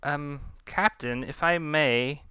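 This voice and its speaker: Man playing earnest, high-pitched, deferential ship's officer. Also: takes it slowly, pushed and bright, clear, resonant tone, no echo, clean studio sound. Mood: hesitant. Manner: hesitant, formal, wordy.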